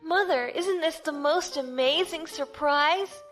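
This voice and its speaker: Casting: affectionate, excitable little sister for sci-fi audio drama; very high, unhurried, highly animated delivery. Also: female voice, faint music behind. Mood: excited. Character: excitable, affectionate young sister of a naval officer.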